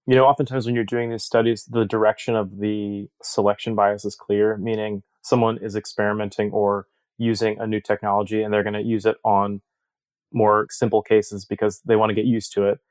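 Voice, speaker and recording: A man speaking as an interview guest, explaining research in a measured, step-by-step way. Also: on the low side, level tone, no room sound.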